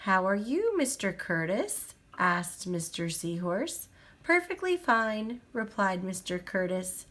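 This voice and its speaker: A woman reads a children's storybook aloud at a slow, unhurried pace. Her voice rises and falls a lot, sing-song and gentle, as she stops to point things out to a little listener at home. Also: medium pitch, big pitch swings. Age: adult. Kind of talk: children's picture book read-aloud.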